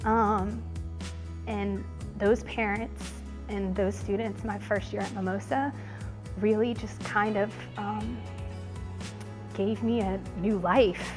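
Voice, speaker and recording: A woman teacher with a loud voice, speaking earnestly in an interview about her students. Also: mid-range voice, steady tone, takes it slowly, music in the background. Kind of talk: interview testimony.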